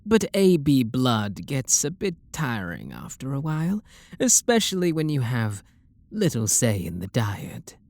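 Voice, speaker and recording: A man playing a refined, possessive vampire lord with a British accent in an ASMR roleplay, speaking softly and deliberately in a genteel, flirtatious manner. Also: mid-range voice, wildly swooping pitch. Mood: patient, negotiating.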